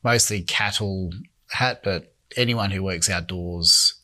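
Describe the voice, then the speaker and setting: A lower-voiced Australian man answering interview questions. He speaks in measured stretches broken by frequent ums, and his voice often drops softer at the ends of thoughts. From Northern Territory, Australia. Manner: measured, many ums, trails off quietly. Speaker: company founder, guest.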